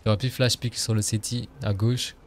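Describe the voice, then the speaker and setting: A man's voice: fairly low pitch, level tone, moderate pace, noisy background. A man live-streaming a game in casual, slangy French sprinkled with English gaming terms, chatting as he plays.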